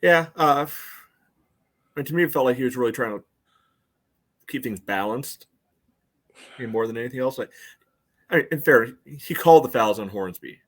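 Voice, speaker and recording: An American man co-hosting a sports podcast, giving casual game analysis in a measured, conversational way. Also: medium pitch, lively intonation, a bit bright. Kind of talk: casual soccer match analysis.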